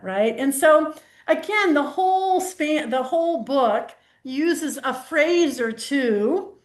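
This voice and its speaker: Give me a woman leading a casual workshop over a video call who now and then reads from a picture book. She sounds a touch high and chatty. Her voice has a roomy echo to it. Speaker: workshop host. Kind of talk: casual group discussion on Zoom.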